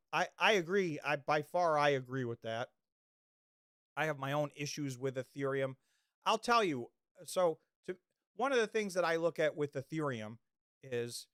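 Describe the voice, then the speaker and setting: A man's voice: medium pitch, a bit bright, clean tone, no room sound, studio quality. A man hosting a livestream in casual chat, rambling with many restarts and losing his voice. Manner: rambling, restarts often, reads chat comments. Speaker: livestream host.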